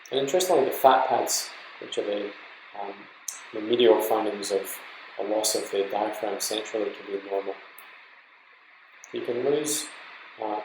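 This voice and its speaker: A man's voice: on the low side, gravelly, echoey room, background noise. A man giving an informal, explanatory slide lecture to trainee doctors, talking them through examples in an instructive way. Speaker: medical lecturer.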